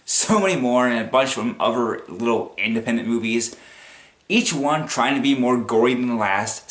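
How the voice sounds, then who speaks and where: A man giving casual, unscripted commentary in a rambling, halting way, as the host of an opinion video. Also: mid-range voice, a bit bright. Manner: rambling, halting, chuckles as he talks. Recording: echoey, roomy sound.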